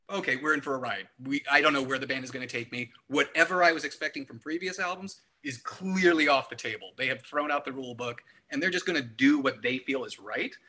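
A man's voice: on the high side, pushed and bright. A man talking casually through an album discussion, explaining his views in wordy, analytical detail.

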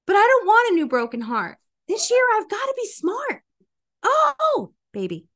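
A woman's voice: very high-pitched, huge pitch swings, moderate pace, fairly bright tone. A woman doing playful, theatrical dramatic readings with an exaggerated, melodramatic delivery as a podcast co-host.

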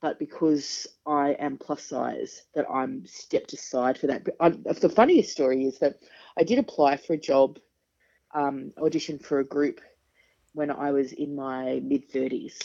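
A woman in her forties with a deep speaking voice, a singer talking frankly and with quick humour as a podcast guest. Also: gravelly, dark tone. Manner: frank, chatty, quick-witted, laughs often. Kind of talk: casual interview chat. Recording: dull lo-fi podcast audio.

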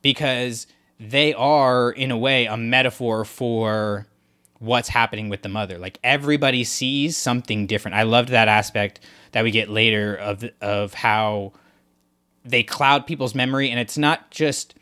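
A man's voice: mid-range voice, normal speed, pushed and bright. A man co-hosting a casual discussion podcast, talking things through in a thoughtful, analytical way with frequent restarts. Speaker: podcast co-host.

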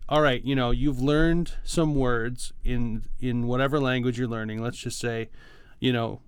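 A man's voice: mid-range voice, steady tone, unhurried, clean tone, no room sound. A man co-hosting a podcast, casually explaining things in a patient, teacherly way with examples. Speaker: podcast co-host, music arranger and teacher.

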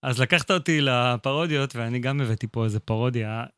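A man's voice: medium pitch, rapid-fire, a bit bright, clear, resonant tone, no room sound. A man hosting a radio chat, casual and hesitant with many fillers. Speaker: radio host.

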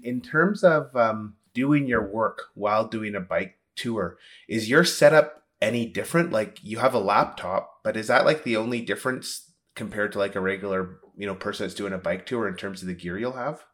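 A man's voice: fairly low pitch, moderate pace, fairly bright tone. A man hosting an interview in a casual, conversational style. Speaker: interview host.

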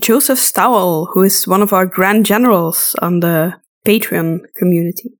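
A woman's voice: lowish voice, normal speed. A woman co-hosting a podcast, talking casually and conversationally. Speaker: podcast co-host.

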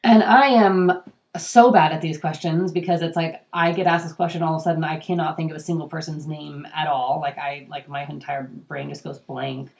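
A young adult woman, a daughter, co-hosting a podcast in relaxed casual chat while a little congested. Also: low-pitched, normal speed, vocal fry. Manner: relaxed, easygoing, fillers like "like" and "yeah". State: congested, under the weather. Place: same room as co-host, home recording.

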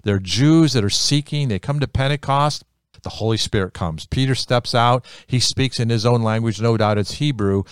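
A man who grew up in America, teaching the Bible in a relaxed conversation, animated and emphatic, often repeating phrases for effect. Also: medium pitch, moderate pace, clear, resonant tone, no echo, studio quality.

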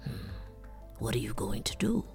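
A woman voicing a calm, tall gray male wolf in a storybook, speaking low, slow and patient in a hushed, breathy voice. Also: faint music behind, full character voice. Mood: quiet, probing.

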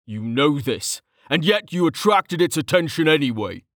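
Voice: unhurried, dry recording, clean studio sound, natural voice. A narrator voicing a stern male officer and fire mage with cold authority and clipped contempt, in a fantasy audiobook. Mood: scolding. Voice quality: deep. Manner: calm authority turning to snapping contempt.

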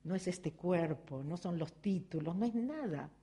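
A middle-aged Argentine woman speaks in a mellow, rather low voice, telling her own story as a motivational talk. She keeps checking in with her listeners through little rhetorical questions, steady and reflective. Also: measured pace. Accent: Argentine Spanish. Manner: reflective, personal, addressing the audience with frequent rhetorical questions. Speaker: spiritual speaker.

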